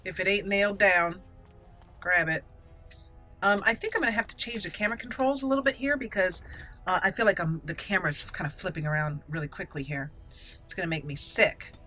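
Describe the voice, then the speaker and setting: A woman's voice: medium pitch, a little fast, bright, forceful tone, soft background music. A woman giving casual, chatty, playful commentary as she hosts a let's play gaming video.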